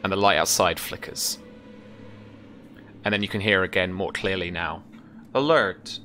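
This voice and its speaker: A man in his thirties narrating a tabletop game as game master, descriptive and measured, with trailing pauses. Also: low voice, little pitch movement, sharp tone, faint music behind. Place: small indoor room at home.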